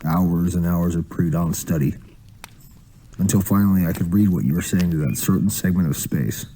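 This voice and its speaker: A man's voice: low voice, normal speed, dark tone, a little hiss or hum, low-quality recording. A man doing spoken-word storytelling in a slangy, profane, casual manner.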